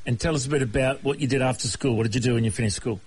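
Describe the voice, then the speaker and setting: A man's voice: medium pitch, fairly even intonation, rapid-fire, darkish tone, breathy edge, poor audio. A man hosting a casual interview, friendly, prompting his guest often with "mm-hmm". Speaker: host.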